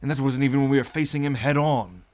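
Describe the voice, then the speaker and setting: An actor playing an excitable, concerned ship's crewman who speaks eagerly in an audio adventure. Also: medium pitch, quick pace, close to an everyday voice. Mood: worried. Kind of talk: cinematic audio adventure acting.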